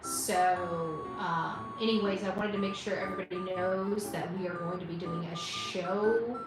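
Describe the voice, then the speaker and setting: A woman's voice: lowish voice, unhurried, distant mic, noisy background. A woman hosting a casual livestream, rambling informally with exasperated asides. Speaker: livestream host. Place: indoors, hotel. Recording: very echoey room, away from mic, music behind.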